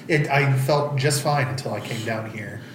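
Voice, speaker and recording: A stuffy-nosed man co-hosts a loose, jokey podcast, laughing his way through the chat. He sounds congested. The basement around him is echoey, and steady background noise runs under his voice. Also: medium pitch, normal speed. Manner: joking, laughing often. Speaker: podcast co-host. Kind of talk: casual chat.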